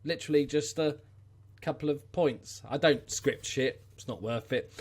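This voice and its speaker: A man with a Scouse accent and a slightly high voice talks casually to his viewers. He is unscripted and informal, with a wry, blunt turn of phrase as he hosts his vlog. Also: moderate pace, slightly rough. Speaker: YouTube channel host.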